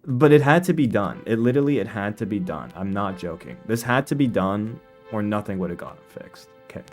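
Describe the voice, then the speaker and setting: Low-voiced man chatting casually on stream. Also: expressive, a little fast. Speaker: live streamer. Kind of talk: casual livestream chat, commenting on own video. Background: quiet lo-fi music.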